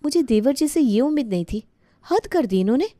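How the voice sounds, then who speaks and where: A woman's voice: high-pitched, lively intonation, brisk, mellow, no echo, clean studio sound, voice pushed up. A woman voicing a gossipy, mocking young sister-in-law in a family comedy story, exclaiming and taunting. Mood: scandalised.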